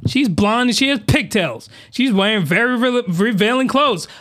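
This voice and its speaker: Guy rambling thru an anime recap, super high voice, losing it, keeps cracking. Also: normal speed. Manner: rambling, excitable, mock-outraged with voice cracks. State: losing his voice. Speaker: podcast host.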